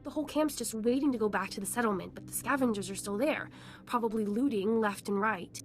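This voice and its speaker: A woman's voice: fairly high pitch, brisk, soft background music, natural voice. A woman playing a tough, protective, worn-down young refugee in a video game, blunt and guarded. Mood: defensive, worried.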